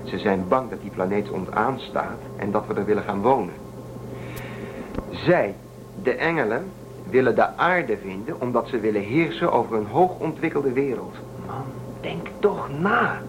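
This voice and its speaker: A man's voice: mid-range voice, animated, moderate pace, noisy background, close to an everyday voice. A man playing a defiant, suspicious spaceship crewman in a radio play, urging and persuading. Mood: insistent. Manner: urgent, persuasive, suspicious of authority.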